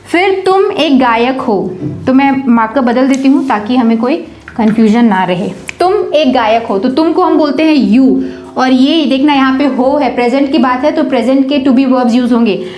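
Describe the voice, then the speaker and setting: A woman teaching a beginners' English grammar lesson at a board. She patiently asks the class questions, answers them herself, and says example sentences slowly, word by word. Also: high-pitched, a little mellow, roomy sound, soft background music.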